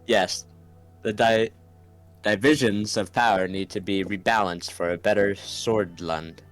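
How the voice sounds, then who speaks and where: A man's voice: lowish voice, steady tone, unhurried. A man playing an earnest reformist politician in a political game, making his points in a declarative way. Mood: earnest resolve. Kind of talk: scripted political game voice-over. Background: background music.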